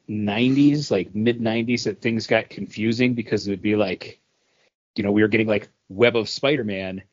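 A man co-hosting a podcast, chatting casually in a halting, earnest, conversational way. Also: lowish voice, highs cut off. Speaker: podcast co-host. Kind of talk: casual chat, personal reminiscing.

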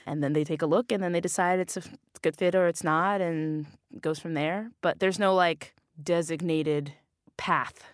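Loud, low-voiced woman chatting and laughing. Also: steady tone, normal speed, dry recording, clean studio sound. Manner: naturally loud, laughs often. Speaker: guest, bike racer. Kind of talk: casual interview chat.

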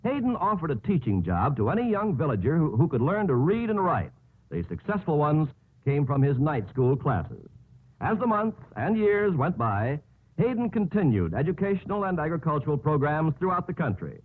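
American guy doing formal vintage radio narration, highish husky voice, very declamatory. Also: very animated, normal speed. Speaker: radio show presenter. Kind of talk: 1950s scripted radio narration. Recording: muffled narrowband old radio recording.

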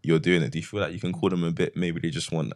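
A man's voice: deep voice, fairly even intonation, fast. A young man, a co-host asking pointed, teasing questions in casual banter.

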